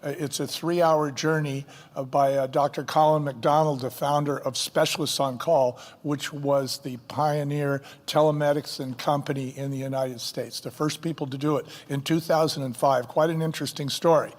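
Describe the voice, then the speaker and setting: A man, a company co-founder, tells stories eagerly as a guest on stage. His voice sits a little high and rings with a long hall echo. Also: flat delivery, normal speed, hardly any pauses. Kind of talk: fireside chat on stage.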